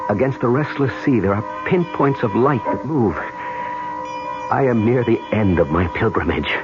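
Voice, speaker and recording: A man's voice: medium pitch, expressive, measured pace, lightly acted. An actor playing a suspicious, determined man telling his story in dramatic first-person radio narration. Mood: awed. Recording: muffled old radio broadcast, loud music. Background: dramatic music.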